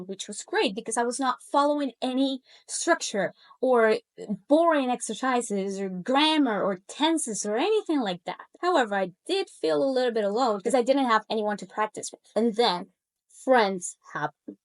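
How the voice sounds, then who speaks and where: A woman in her thirties from Colombia, a native Spanish speaker with a Colombian accent, telling her own language-learning story in an expressive, animated way. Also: fairly high pitch. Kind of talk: personal storytelling to camera.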